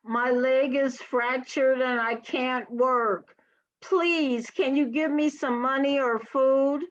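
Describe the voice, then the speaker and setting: Homeless woman beggar played by an actress, high, slow, pleading, stage-play reading on a lo-fi call. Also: fairly even intonation. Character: pleading, injured homeless woman begging on the street. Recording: dull, lo-fi video-call audio.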